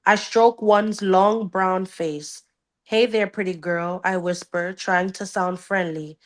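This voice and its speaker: A woman's voice: lowish voice, fairly even intonation, slow. A woman podcast host reading a novel aloud and dropping into casual, blunt banter. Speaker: book club podcast host.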